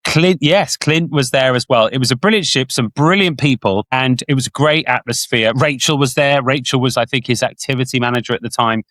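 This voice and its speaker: A man's voice: mid-range voice, a little fast, hardly any pauses, no echo. Man telling cruise stories. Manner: animated storyteller, puts on voices when quoting. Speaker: podcast co-host, former cruise director. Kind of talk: casual reminiscing chat.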